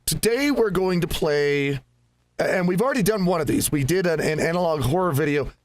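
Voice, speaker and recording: Higher-voiced man giving casual game commentary. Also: animated, a little fast. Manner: conversational, musing, rambling. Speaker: host of a gaming channel.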